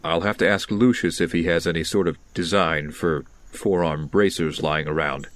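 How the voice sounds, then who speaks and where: A man acts a brooding masked vigilante with a very low voice. He is stoic and stubborn, delivering everything in an understated, matter-of-fact audio drama style. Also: fairly even intonation, moderate pace, fairly bright tone.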